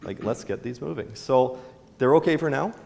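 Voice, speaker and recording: A man's voice: medium pitch, expressive, normal speed, gravelly, darkish tone. A middle-aged man from Canada, a town mayor, answering questions at a town hall in a casual, rambling way with many restarts. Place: large indoor hall with a stage. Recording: long hall reverb.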